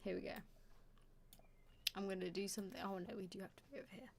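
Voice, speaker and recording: A woman co-hosting a live stream with a hoarse voice, chatting casually and greeting viewers. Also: mid-range voice, fairly even intonation, fast talker, a little hiss or hum. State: hoarse, losing her voice.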